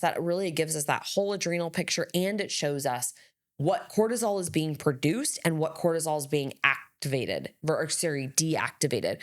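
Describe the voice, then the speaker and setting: A woman co-hosting a health podcast, giving measured advice with casual fillers. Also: low voice. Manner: measured, advisory, with hedging fillers. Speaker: podcast co-host, health practitioner.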